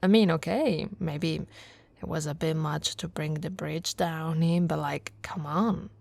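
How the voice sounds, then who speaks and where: A woman from Italy playing an affectionate, teasing female orc warrior in an intimate, soft-spoken ASMR roleplay. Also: low-pitched, unhurried, gravelly, heavily acted. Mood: playful, bragging. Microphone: close, dry microphone.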